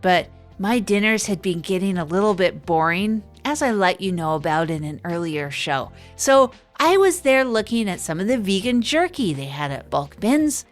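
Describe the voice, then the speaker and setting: Female actor as hapless radio show host; earnest, halting, self-deprecating monologue over faint music. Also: medium pitch, very animated, natural voice. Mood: upbeat, rambling. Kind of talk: improvised comedy audio drama monologue.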